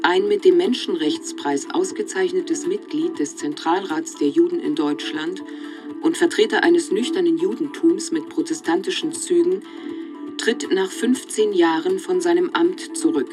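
A woman's voice: fairly low pitch, moderate pace, music in the background. A woman narrating a scripted, news-like report within a radio play.

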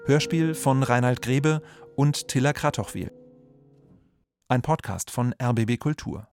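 A man's voice: medium pitch, measured pace, darkish tone, soft background music. A man reading a scripted title announcement for a radio play podcast.

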